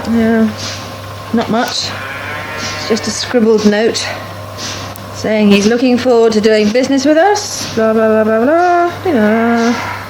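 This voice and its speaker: An actress playing a brisk, practical, scheming farmer's wife in a naturalistic radio soap. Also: female voice, a little high, music in the background. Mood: chatty, dry. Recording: noisy 1980s radio drama.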